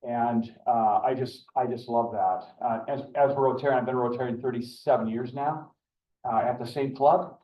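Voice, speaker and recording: A man's voice: mid-range voice, fairly even intonation, normal speed. An older man, a club member, introduces a guest speaker at a club meeting in an earnest, rambling way. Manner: rambling, earnest, with many fillers and restarts. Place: meeting room. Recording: echoey room, distant dull mic.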